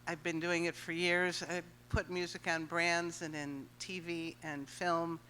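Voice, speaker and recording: A woman from Chicago who works as a music supervisor speaks to a workshop crowd, energetic and encouraging. Her voice is bright, with a faint hum on the handheld mic. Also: lowish voice, a little slow.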